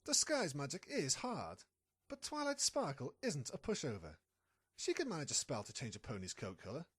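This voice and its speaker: A woman's voice: deep voice, very animated, moderate pace, slightly rough, exaggerated voice. A woman playing a sly, scheming pony reporter in an audio drama, confident and impatient with a partner. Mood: determined, scheming. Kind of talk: fan audio drama acting.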